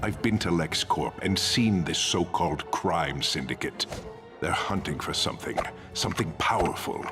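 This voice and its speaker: A man's voice: low voice, moderate pace, over music. A man playing an arrogant, commanding criminal mastermind in a video game, speaking with pompous, clipped authority. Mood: grave, scheming. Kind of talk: video game villain acting.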